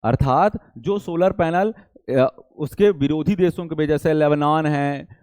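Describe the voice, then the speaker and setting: Man, teacher, explanatory lesson, didactic, rhetorical questions, mellow timbre, dry close sound. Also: a little high, measured pace, smooth, dry recording. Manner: didactic, frequent check-in tags, rhetorical questions. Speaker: teacher for competitive exam students. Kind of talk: explanatory current affairs lesson.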